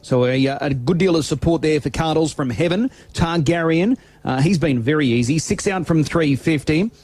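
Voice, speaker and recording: A man's voice: fairly high pitch, normal speed, darkish tone, no echo. A man giving a live radio betting update as a racing reporter, listing runners and prices. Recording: shortwave and AM radio broadcast.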